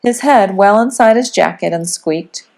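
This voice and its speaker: A woman reading a storybook aloud as a teacher would, in expressive, dramatic children's narration. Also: medium pitch, unhurried, gravelly.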